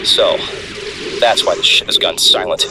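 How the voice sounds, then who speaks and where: A man voicing a male mobile suit squad leader who shouts orders under fire in a fan audio drama. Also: measured pace, over music, natural voice. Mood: alarmed. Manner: shouting over the radio. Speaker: mobile suit pilot in combat. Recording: loud background combat noise.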